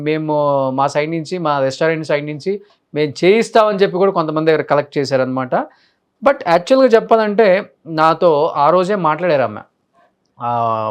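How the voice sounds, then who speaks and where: Higher-voiced man giving emphatic news commentary. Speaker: news anchor. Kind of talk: unscripted news commentary in Telugu with English words mixed in.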